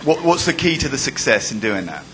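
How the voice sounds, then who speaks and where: A man's voice: mid-range voice, very animated, fairly quick, crowd noise. A middle-aged man in the audience earnestly asking the panel a question.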